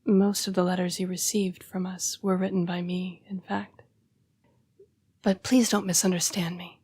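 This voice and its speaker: A woman playing a young-seeming, formal and apologetic female vampire in an intimate audio roleplay, breathy and often dropping to a whisper. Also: lowish voice, little pitch movement, darkish tone, no echo. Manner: formal, polite, measured phrasing. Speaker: vampire council's correspondence assistant.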